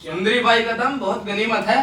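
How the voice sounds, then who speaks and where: Flattering drunk hanger-on played by a man, very high, bright voice, excitable, echo-heavy stage play. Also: normal speed. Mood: flattering, amused. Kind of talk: Hindi stage play acting. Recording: very echoey, distant stage recording.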